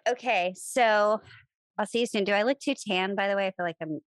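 A woman's voice: medium pitch, a little fast, clear, resonant tone, no echo. A woman chatting casually with a friend and co-worker, rambling and breaking off her sentences. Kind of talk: casual chat.

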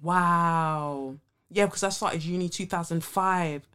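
A woman's voice: on the low side, fairly even intonation, measured pace, fairly bright tone, clean tone. A woman in her thirties with a British accent, co-hosting a podcast in casual banter. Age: 30s. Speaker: podcast co-host.

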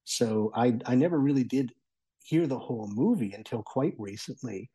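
A man in his forties with a middle-pitched, husky voice and a very relaxed tone. He talks as a radio contributor in a conversational style, pausing and thinking as he goes. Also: no echo, low-quality recording. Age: 40s. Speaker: audio entertainment and accessible technology contributor.

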